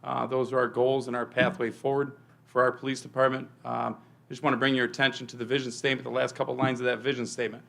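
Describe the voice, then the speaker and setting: A man, a police chief, presenting an update to a village board in a meeting room, talking loudly with many fillers. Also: mid-range voice, little pitch movement, fast, gravelly. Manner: speaks loudly without a microphone, filler-heavy.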